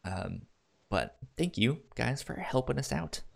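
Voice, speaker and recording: A man co-hosting a podcast in casual chat, halting and often repeating the starts of his words. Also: medium pitch, expressive, gravelly. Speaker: podcast co-host.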